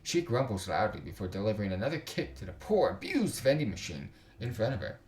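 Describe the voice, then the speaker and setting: Deep-voiced young man streaming, roomy sound. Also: big pitch swings, fast talker, darkish tone. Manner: stumbles while reading aloud, slangy joking asides. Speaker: video game streamer. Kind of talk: casual livestream, reading visual novel text aloud with side comments. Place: indoors at a computer.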